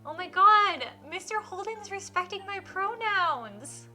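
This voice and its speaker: A woman streaming a visual novel, reading it aloud and reacting in an enthusiastic, expressive way. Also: very high voice, measured pace, faint music behind. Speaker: game streamer.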